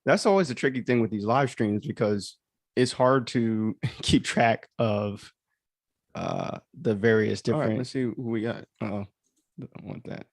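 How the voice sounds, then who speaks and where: A man's voice: mid-range voice, moderate pace, no room sound, studio quality. A man hosting a livestream in casual, rambling chat, commenting on his own audio drama and easily amused.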